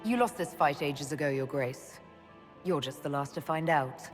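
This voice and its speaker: An actress playing a composed, commanding, coolly sardonic Inquisitor in a fantasy game, with measured, pointed delivery. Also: female voice, sits low, in a large hall, soft background music, lightly acted. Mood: accusing, triumphant. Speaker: game character voice actor. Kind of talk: cinematic fantasy game dialogue.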